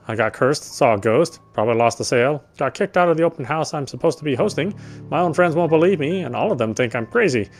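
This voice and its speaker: A man streaming a game, reading visual novel narration aloud in a dramatic way. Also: mid-range voice. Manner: dramatic reading with offhand asides. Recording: game music behind the voice.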